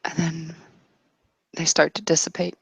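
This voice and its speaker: A woman game master improvising narration for a tabletop roleplay, halting yet directive. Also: low-pitched, level tone, creaky, husky, no echo.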